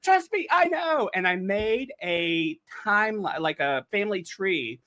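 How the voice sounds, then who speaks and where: A man chatting casually on a panel, telling stories in an animated way and acting out his own reactions. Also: high voice, unhurried. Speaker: panelist on a behind-the-scenes show. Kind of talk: casual chat.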